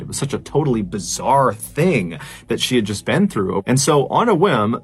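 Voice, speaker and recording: Animated male mystery storyteller. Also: medium pitch. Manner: conversational, emphatic, suspenseful delivery. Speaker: storyteller host. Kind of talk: dramatic true-mystery storytelling narration.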